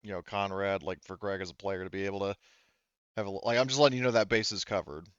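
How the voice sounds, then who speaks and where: A man's voice: on the low side, fairly even intonation, fast talker, a bit bright, clean tone, no echo, lo-fi sound. A man running a tabletop roleplaying game as game master, explaining and narrating in a hesitant, rambling way.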